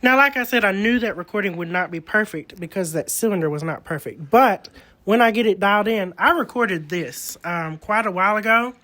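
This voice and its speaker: A man's voice: high-pitched, a little fast, pushed and bright. A man presenting an informal, hands-on demonstration of antique recording equipment, explaining each step as he goes.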